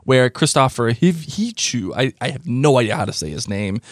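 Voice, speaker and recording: A man's voice: medium pitch, normal speed, dry recording, clean studio sound. A man from Denmark co-hosting a fan podcast, reading listener emails aloud and joking between them. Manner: jokey, laughs often, animated reading. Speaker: podcast co-host. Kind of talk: casual chat, reading listener feedback aloud.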